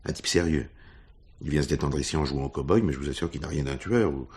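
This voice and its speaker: A loyal junior detective with a low, deep voice. He sounds puzzled and matter-of-fact as he questions things, in the manner of a radio crime drama. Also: fast, a little mellow. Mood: doubtful. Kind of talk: 1970s French radio crime drama acting.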